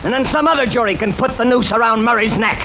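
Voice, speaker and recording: A man's voice: very high-pitched, fast talker. An actor playing an irritable, domineering American jury foreman, sarcastic and blustering in 1940s radio drama style. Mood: scornful. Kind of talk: 1940s radio mystery acting. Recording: noisy vintage radio recording.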